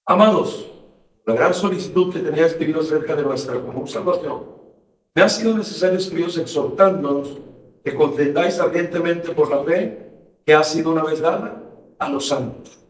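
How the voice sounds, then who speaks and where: A man's voice: a little high, normal speed. A man who is a pastor hosting a radio program, reading scripture and talking with a fervent, emphatic preaching cadence. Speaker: radio show host and pastor. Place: radio studio. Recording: roomy echo, voice distant from mic.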